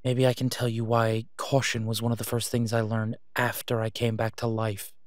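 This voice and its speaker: A man's voice: mid-range voice, monotone, normal speed, dry recording, clean studio sound, close to an everyday voice. A man playing a protective, exasperated young vigilante hero in an audio roleplay, speaking earnestly and urgently. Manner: earnest, urging.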